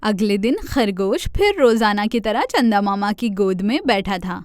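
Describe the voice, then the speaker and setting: A woman narrating a children's cartoon fairy tale in an expressive storytelling manner, speaking to kids. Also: mid-range voice, smooth, no echo, studio quality.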